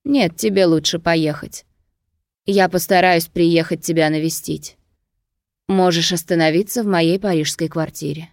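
A man's voice: fairly high pitch, steady tone, measured pace, dry recording, clean studio sound. A woman narrator voicing a domineering, possessive and impatient wealthy Greek lover in an audiobook. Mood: persuasive.

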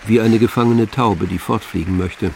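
Lowish male radio-play narrator. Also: moderate pace, gravelly, noisy background.

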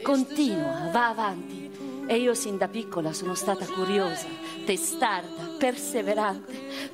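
A woman acting in radio theatre, delivering a dramatic first-person monologue as a proud, reflective noblewoman with deliberate phrasing. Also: mid-range voice. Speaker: actress in a radio play.

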